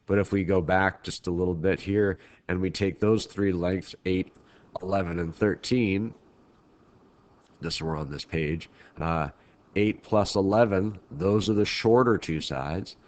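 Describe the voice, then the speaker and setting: A man with a very deep, low-pitched voice delivers a recorded math lecture for his class. He is congested from a head cold, so his voice sounds thick and stuffy. He speaks in a casual, loose way, often restarting sentences mid-thought. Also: unhurried. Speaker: teacher. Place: at home, recording remotely.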